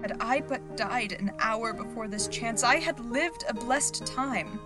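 A woman's voice: fairly high pitch, measured pace, over music, natural voice. A woman playing an earnest understudy actress in an audio drama, sincere and theatrical in delivery. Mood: solemn. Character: earnest understudy actress rehearsing Shakespearean tragedy.

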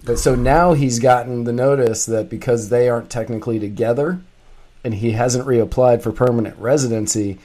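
A man's voice: medium pitch, fairly even intonation, normal speed, mellow. Casual man telling a story on the radio. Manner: casual storyteller, frequent fillers like "like" and "um". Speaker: radio show co-host. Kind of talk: casual political talk radio.

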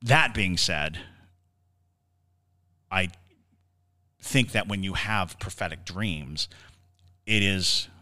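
A man co-hosting a podcast, explaining things conversationally in a halting, reflective way. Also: low-pitched, sharp tone. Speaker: podcast host. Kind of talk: conversational podcast discussion of the paranormal.

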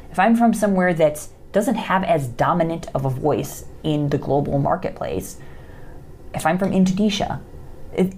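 A low-voiced woman in her thirties talks through listener questions on her podcast. Her delivery is loose and conversational, full of ums and restarts. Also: vocal fry, darkish tone, a little hiss or hum. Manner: conversational, many fillers and restarts. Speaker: podcast host. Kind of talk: casual advice talk, answering listener questions.

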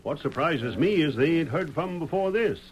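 A man's voice: on the high side, lively intonation, normal speed, slight background noise, close to an everyday voice, rough recording. An actor playing a folksy, foreboding frontier townsman with drawling rustic speech, in old radio western style. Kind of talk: 1940s radio western acting.

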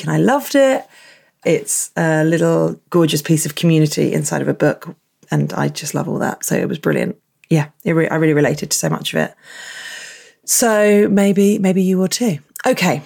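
Female podcast host, husky morning croak, low pitch, relaxed chat from a tiny home room. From England. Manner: chatty, warm thanks and asides. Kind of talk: casual podcast intro. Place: small box room at home.

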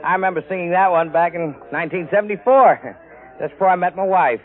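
A man's voice: sits high, fast talker, lightly acted. Man playing a weary, wry American henpecked husband. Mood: weary, wry grumbling. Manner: grumbling, wry. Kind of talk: 1950s radio acting. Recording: narrow-band vintage radio recording.

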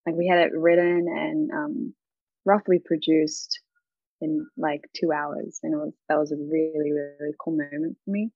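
A woman's voice: low voice, flat delivery, normal speed, no room sound, phone-quality sound. A young woman with an Australian accent, a singer chatting in an interview, bubbly and rambling with lots of giggles.

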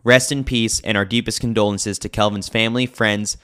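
A man's voice: fairly low pitch, steady tone, fairly quick, fairly bright tone, clean tone. A man hosting a podcast, reading a scripted newsletter as narration.

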